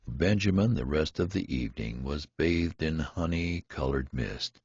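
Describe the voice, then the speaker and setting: A man's voice: a bass voice, measured pace, a little mellow, slightly rough, no room sound, highs cut off. A man reading a literary story aloud as scripted audiobook narration. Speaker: audiobook reader.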